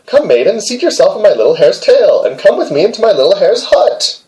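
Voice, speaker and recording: A man's voice: high-pitched, sing-song, brisk, a little mellow, voice pushed up, poor audio. A man voicing a little male hare from a storybook, an insistent suitor who coaxes with repeated commands. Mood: coaxing. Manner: coaxing, repetitive commands.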